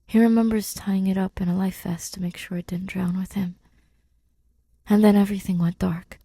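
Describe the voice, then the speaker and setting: A woman reading fiction as an audiobook narrator, in a hushed, deliberate and dramatic manner. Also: medium pitch, fairly even intonation, vocal fry, breathy edge, no echo, clean studio sound. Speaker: fan fiction podfic reader.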